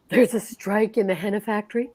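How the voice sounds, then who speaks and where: A woman's voice: medium pitch, dark tone, breathy edge. A woman reading wisecracking husband George. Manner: wisecracking, teasing. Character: husband George, bantering middle-aged man. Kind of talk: amateur old-time radio play reading.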